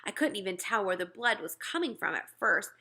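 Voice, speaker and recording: A woman narrating a children's novel as an animated read-aloud. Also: medium pitch, brisk. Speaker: storybook reader.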